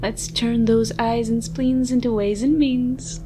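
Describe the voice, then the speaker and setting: Woman as theatrical, slow-talking vampire lady. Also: on the high side, steady tone, noisy background. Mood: mock polite, menacing. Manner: theatrical, wordy, dry mock-formal speech. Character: haughty, flustered ancient vampire lady, sardonic and secretly soft. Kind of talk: ASMR roleplay, dramatic monologue to the listener. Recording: music behind the voice. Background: music.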